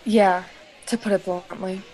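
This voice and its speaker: Audio drama: awkward, guarded high-school girl, female actor, mid-range voice, slight hiss. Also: fairly even intonation, measured pace, mellow, close to an everyday voice. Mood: downcast. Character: reserved, awkward, self-conscious teenage girl.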